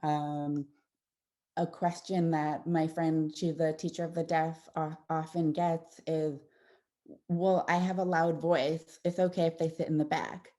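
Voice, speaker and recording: A woman's voice: sits low, flat delivery, measured pace. Woman audiologist giving a casual slide talk. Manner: conversational, warm explanations with frequent fillers and restarts. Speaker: audiologist presenting to parents. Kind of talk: informal presentation with slides.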